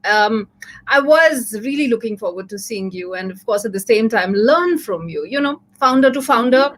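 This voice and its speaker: Female show host, high voice, warm and gushing, easy chat. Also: lively intonation, normal speed. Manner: effusive, chatty, laughs often. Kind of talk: casual interview.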